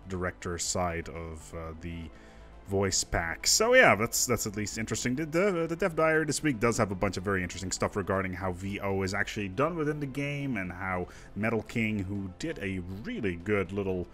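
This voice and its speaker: A man's voice: fairly low pitch, expressive, normal speed, a bit bright, faint music behind. A man presenting casual gaming news commentary in an informal, conversational, musing manner.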